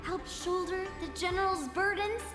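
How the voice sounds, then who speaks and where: Game heroine, feisty young female fighter. High-pitched, bold and brisk, echoey with music behind. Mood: teasing. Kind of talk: video game voice acting.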